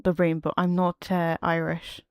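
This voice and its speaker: A young woman with a Geordie accent, chatting quickly and laughing often while streaming a game. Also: on the low side, monotone, darkish tone, clear, resonant tone, dry recording, clean studio sound. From North East England. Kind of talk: casual chat while gaming.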